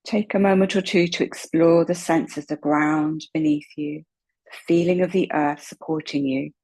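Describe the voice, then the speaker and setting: Low, breathy woman guiding meditation. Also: rough recording. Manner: gentle, calm, unhurried, with long pauses. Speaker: guided relaxation meditation instructor. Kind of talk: slow guided meditation, soothing instruction.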